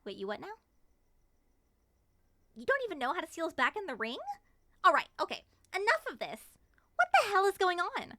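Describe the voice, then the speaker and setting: A woman voicing an excitable, suspicious moth monster woman with theatrical, expressive delivery in an ASMR roleplay. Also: very high-pitched, normal speed, pauses often, dry recording, full character voice. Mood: suspicious, agitated.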